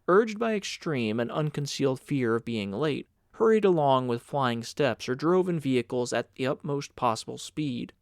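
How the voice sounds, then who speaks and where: Expressive man narrating, very varied pitch. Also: no echo, studio quality. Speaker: audiobook narrator. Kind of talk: scripted literary narration.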